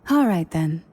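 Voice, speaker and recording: An actress playing a gentle young songstress in a video game, soft in manner and mildly teasing. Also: female voice, medium pitch, slight background noise. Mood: mildly put out. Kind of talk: video game character acting.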